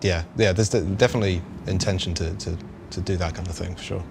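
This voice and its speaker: A man chatting casually as a developer on a panel, haltingly repeating words as he explains. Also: sits low, fairly even intonation, gravelly, background noise. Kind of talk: casual chat.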